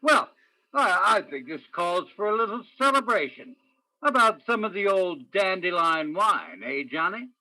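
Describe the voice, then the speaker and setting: A man's voice: high voice, sing-song, normal speed, sharp tone, heavily acted, poor audio. A man playing a folksy, genial, proud old father in a radio play.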